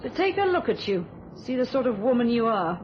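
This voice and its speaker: An actress playing a bitter, steely widow in a radio thriller, with a British accent and a controlled, deliberate delivery. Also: female voice, on the high side, big pitch swings, slight background noise. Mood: cold, threatening. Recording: dull lo-fi radio sound.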